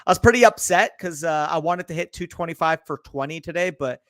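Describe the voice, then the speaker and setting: A man's voice: on the high side, a little fast, a bit bright, no room sound. A young man with a Canadian accent, co-hosting a casual sports podcast and trading swear-laced banter. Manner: swearing, laid-back banter. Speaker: podcast co-host.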